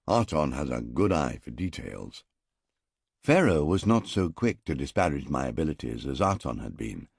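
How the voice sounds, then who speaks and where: A man narrating an audiobook in the first person, in a measured, wry storytelling manner. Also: extremely low pitch, vocal fry, darkish tone, breathy edge, no echo, clean studio sound.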